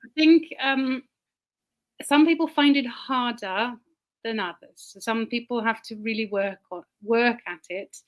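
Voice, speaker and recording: A woman who grew up in Germany with German as her first language, a teacher giving a casual talk over a video call, chatty and quick to laugh. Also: fairly high pitch. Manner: chatty, laughs often, repeats words while thinking. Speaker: guest speaker, teacher.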